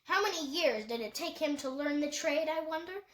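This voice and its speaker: A woman voicing a wise, principled older woman with a measured, thoughtful manner in an audiobook story. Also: high-pitched, expressive, fairly bright tone, roomy sound, pitched above the performer's own voice. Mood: musing. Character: wise, calm, principled older woman, firm yet gracious.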